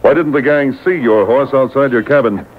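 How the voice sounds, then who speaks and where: An actor playing a stern, commanding Mountie sergeant in an old radio adventure serial, speaking with firm authority. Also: male voice, normal speed, lightly acted. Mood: stern, probing. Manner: authoritative, firm, clipped interrogation. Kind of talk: 1940s-50s radio adventure serial acting. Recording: tinny, noisy boombox taping of AM radio.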